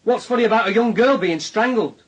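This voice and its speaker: An actor playing an insinuating police detective in a radio drama, sardonic and taunting, husky. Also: male voice, high voice, fairly quick, poor audio. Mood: needling. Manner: sardonic, taunting, leading questions. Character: insinuating police detective, needling a young suspect.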